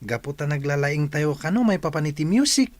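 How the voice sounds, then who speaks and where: A man's voice: on the high side, lively intonation, a little fast, no room sound, natural voice. A man with a Filipino accent acting a friendly, admiring schoolboy in a radio drama.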